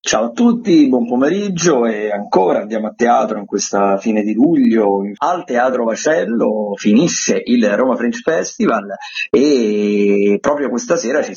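A man with a raspy voice, presenting theatre listings on radio as a critic. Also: medium pitch, darkish tone, highs cut off.

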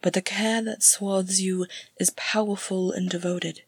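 Woman as a soft, breathy, serene elf lady. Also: mid-range voice, little pitch movement, dry recording. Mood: gentle, solemn. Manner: slow, formal, soothing. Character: Galadriel, serene, gentle, mysterious elf lady.

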